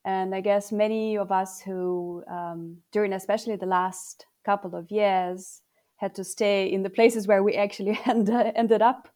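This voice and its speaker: Woman podcast host, mellow and warm tone, friendly with light fillers. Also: mid-range voice, fairly even intonation, unhurried. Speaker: interviewer, host. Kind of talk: podcast interview.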